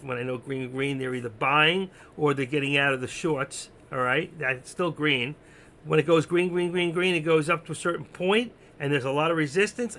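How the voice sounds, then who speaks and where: A man with a gravelly, hoarse voice that is giving out, doing unscripted live trading commentary, thinking aloud, repeating himself and instructing his viewers. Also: a little high, sharp tone, slight background noise. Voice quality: gravelly, creaky. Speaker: live stock-trading streamer.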